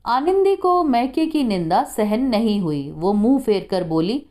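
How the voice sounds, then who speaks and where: Unhurried woman storyteller with a lively pitch. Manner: measured, expressive storytelling. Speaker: storyteller, narrator. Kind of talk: scripted short story narration.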